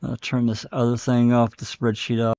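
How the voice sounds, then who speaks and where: A man's voice: mid-range voice, monotone, moderate pace, darkish tone, dry recording, dull audio. A man hosting a casual commentary stream, thinking aloud as he rambles through his material. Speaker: live stream host. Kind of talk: casual commentary on case records.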